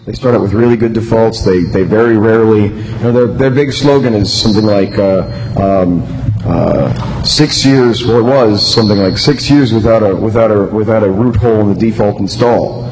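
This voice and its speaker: A man's voice: on the low side, normal speed, a little mellow. Man with a strained voice, joking at a conference. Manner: chatty, joking, many fillers and restarts. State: losing his voice. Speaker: conference speaker. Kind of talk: casual live tech talk. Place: conference room with audience. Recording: noisy live room recording.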